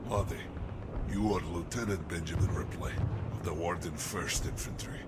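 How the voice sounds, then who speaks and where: Man acting gruff, low-voiced old army captain. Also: normal speed, very noisy, lightly acted. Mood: gruff concern. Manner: sardonic, folksy soldier talk with mild swearing. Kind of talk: audio drama acting.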